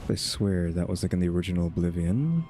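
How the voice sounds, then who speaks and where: A man's voice: low voice, animated, quick pace. A man giving casual, laid-back gameplay commentary, thinking aloud as he plays. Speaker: gaming video creator. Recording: loud game music behind voice.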